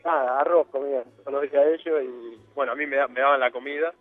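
A man's voice: mid-range voice, fairly even intonation, fast, no room sound, phone-quality sound, soft background music. Rambling young Argentine man on radio. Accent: Argentine Spanish. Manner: rambling, many fillers and restarts. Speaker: guest footballer. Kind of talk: casual interview storytelling.

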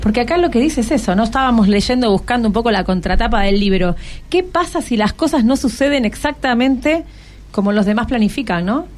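A woman's voice: on the high side, animated, brisk, a little mellow. A woman with a Buenos Aires accent hosting a conversational radio interview, prompting with short follow-up questions. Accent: Argentine, Buenos Aires. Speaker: radio host interviewing schoolchildren.

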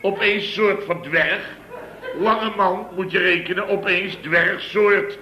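An actor playing a blustering middle-aged contractor boss who rambles through an exasperated tale, in 1960s radio comedy acting. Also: male voice, sits high, steady tone, slow pace, echoey room, dull audio. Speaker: construction firm boss.

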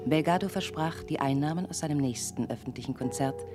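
An actress playing an impassive news announcer, reading bulletins in a formal, measured way in a radio drama. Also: female voice, low-pitched, over loud music, close to an everyday voice. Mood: neutral, formal.

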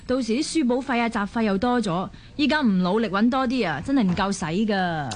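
A woman's voice: fairly high pitch, expressive, fairly quick, slight background noise, close to an everyday voice. An actress playing a tired, overworked working mother with a Hong Kong Cantonese accent, sighing and complaining as in radio drama. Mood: stressed, earnest.

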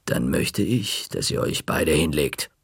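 Man voicing a stern commanding librarian woman, low slow delivery, gravelly and husky, storybook reading. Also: animated, dry recording, studio quality, heavily acted.

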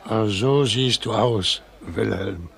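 Ein Schauspieler spielt in einem Hörspiel einen frommen, strengen alten Weber und Familienvater, ernst und mahnend, mit schlesischem Akzent. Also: male voice, fairly low pitch, slow pace, vocal fry, a bit bright, some chatter behind, heavily acted. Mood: disapproving. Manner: earnest, admonishing. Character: pious, stern old weaver and family father. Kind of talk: German radio play acting.

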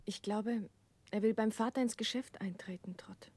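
A breathy, mid-pitched young woman, played by an actress in a 1960s radio drama. She speaks softly and gently, as someone troubled. The sound is dry and intimate. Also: moderate pace, no room sound, close to an everyday voice. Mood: anxious, sad.

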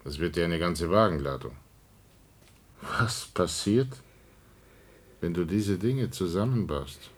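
A man's voice: low-pitched, animated, takes it slowly, pauses often, husky, heavily acted. A man playing a wry, indulgent older uncle in a German science fiction radio play, with dry irony. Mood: skeptical.

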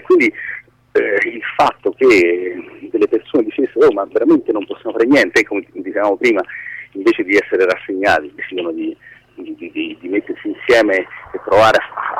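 A man giving a casual radio interview as a guest, haltingly searching for his words. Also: lowish voice, breathy edge, poor audio. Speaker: guest, screenwriter.

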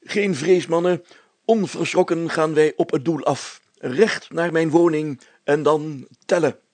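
A man's voice: fairly high pitch, a little slow, darkish tone, no echo, voice pushed up. A man voicing a pompous, solemn, overcautious village mayor in a storybook reading, speaking in formal, stately phrases. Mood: pompous, grave.

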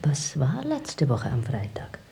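A coaxing lover in a radio play, with a low, mellow voice. She is cool, hushed and deliberate, yet her melody moves widely. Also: wildly swooping pitch, slight background noise, heavily acted. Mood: tender, hushed. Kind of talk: German radio drama acting.

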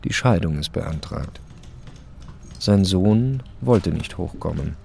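A man reading scripted literary narration as the narrator of an audio drama, with a slightly creaky voice. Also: low voice, animated, takes it slowly, warm tone.

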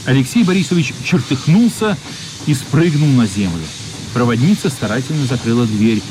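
An actor narrating a children's radio play as an expressive, literary storyteller. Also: male voice, medium pitch, moderate pace, music in the background, natural voice, poor audio. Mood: descriptive.

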